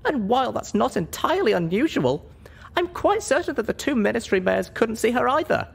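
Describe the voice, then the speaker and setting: A man's voice: very high-pitched, big pitch swings, brisk, gravelly, breathy edge, voice pushed up, heavily acted. A man voicing a small, stubborn young female pony in an earnest, emphatic storybook reading. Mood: indignant, insistent.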